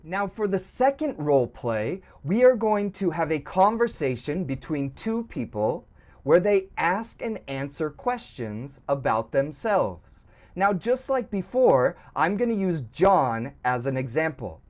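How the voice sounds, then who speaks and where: A woman with a low voice that rises and falls a lot, teaching an English lesson. She speaks slowly and clearly, prompting the learner and praising each try. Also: very animated, darkish tone. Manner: encouraging, repeats and prompts the learner. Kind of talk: slow, clearly spoken language lesson.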